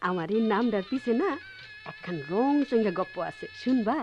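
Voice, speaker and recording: An actress playing a defiant, playful village wife with a rural Bangladeshi accent in an emotional, singsong radio drama style. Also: female voice, fairly high pitch, normal speed, a little mellow, muffled recording, faint music behind, lightly acted. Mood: playful, coy. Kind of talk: Bengali radio drama acting.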